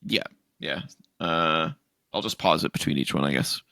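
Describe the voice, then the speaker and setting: Deep gravelly man, laid-back podcast co-host. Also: sharp tone, dry recording, clean studio sound. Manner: laid-back, wry, drawn-out reactions. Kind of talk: casual chat.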